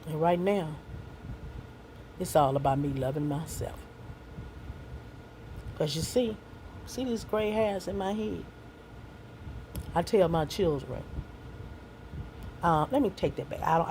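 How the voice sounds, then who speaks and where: A woman's voice: deep voice, a little mellow, slight background noise, poor audio. A woman in her sixties, a single mother and grandmother, giving young people earnest, folksy life advice that mixes faith with plain street talk.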